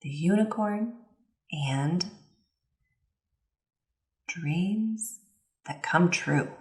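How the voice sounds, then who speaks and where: A woman giving an instructional voice-over interpretation, phrasing her explanations in a measured, clear way. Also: on the low side. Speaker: voice-over interpreter for a signing presenter. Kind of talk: instructional voice-over translating signed presentation. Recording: roomy, reverberant sound.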